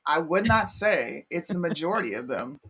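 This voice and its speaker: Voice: medium pitch, big pitch swings, moderate pace, a bit bright, a little hiss or hum, poor audio. A co-host from Pennsylvania talking bluntly and profanely in a casual debate on a talk show.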